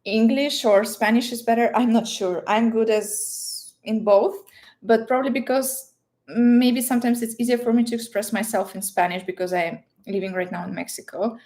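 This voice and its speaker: A woman's voice: fairly high pitch, steady tone, normal speed, a little mellow. A woman with a Russian accent, a native Russian speaker, chatting casually and playfully as she answers livestream questions, often searching for words. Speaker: livestream host.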